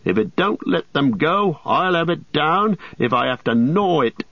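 A man's voice: mid-range voice, animated, moderate pace, breathy edge, dry recording, dull audio. A man voicing a loyal, stubborn, plain-spoken male hobbit servant in a storybook, earnest and homely. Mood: fierce.

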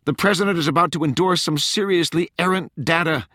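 A man's voice: on the high side, brisk, sharp tone, no room sound, clean studio sound, voice pushed up. A man voicing a desperate younger woman, an intelligence liaison, in an urgent, emphatic audiobook character voice. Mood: urgent warning.

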